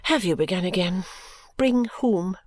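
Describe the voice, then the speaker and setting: A woman reader voicing a middle-aged mother who answers her daughter in a calm, clear, complacent and coolly dismissive way. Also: on the low side, a little slow, no room sound. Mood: weary brisk.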